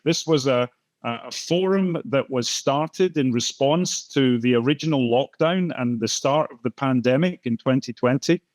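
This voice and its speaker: An older Scottish man hosting an online talk event on a video call, speaking in a halting way with many fillers and restarts. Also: mid-range voice, darkish tone, no room sound. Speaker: host and moderator. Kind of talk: conversational welcome and introduction.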